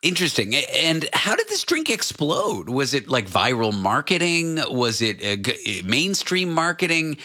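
A man hosting a radio talk show, chatting in an animated, playful, conversational way. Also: fairly high pitch, normal speed, hardly any pauses. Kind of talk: conversational interview with scripted introduction.

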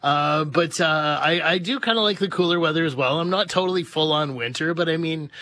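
A man's voice: fairly high pitch, brisk, sharp tone, slight background noise. A man chatting easygoing and joking as a radio co-host. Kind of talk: casual chat.

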